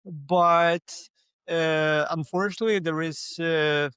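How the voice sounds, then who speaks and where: A man whose first language is Ukrainian, speaking as an interview guest in a halting way, with many fillers and restarts. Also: on the high side, steady tone, a bit bright, clear, resonant tone, dry recording, studio quality. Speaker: guest, localization company founder. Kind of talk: conversational interview.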